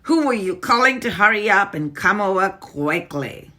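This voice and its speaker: A woman's voice: on the low side, animated, a little slow, bright, forceful tone, breathy edge, pitched above the performer's own voice, exaggerated voice. A woman voicing a fierce, commanding female witch leader who snaps out her orders. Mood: imperious. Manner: snapping, imperious.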